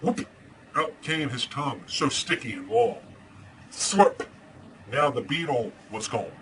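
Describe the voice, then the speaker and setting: A man playing Batman as an earnest, deadpan superhero host who chats casually and reads storybooks aloud, with some hesitation. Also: mid-range voice, slow pace, noisy background. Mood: storytelling.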